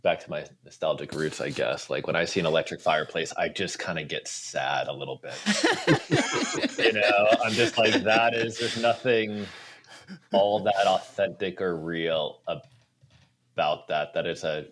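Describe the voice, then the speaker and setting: A man's voice: very deep voice, animated, a little slow, fairly bright tone, a little hiss or hum. A man chatting casually as a panelist on a podcast video call. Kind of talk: casual chat. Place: indoor room on a video call.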